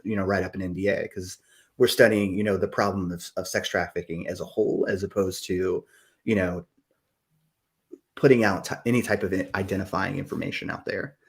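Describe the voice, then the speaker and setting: A man's voice: low-pitched, fairly even intonation, fairly quick, gravelly. A man hosting a live-stream podcast, talking casually and enthusiastically with many fillers. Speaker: podcast host.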